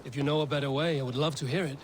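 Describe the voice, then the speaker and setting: An actor playing a steady, friendly Viking warrior leader in a video game, measured and dryly teasing. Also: male voice, a little high, slight background noise, close to an everyday voice. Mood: easy, inviting. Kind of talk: video game dramatic acting.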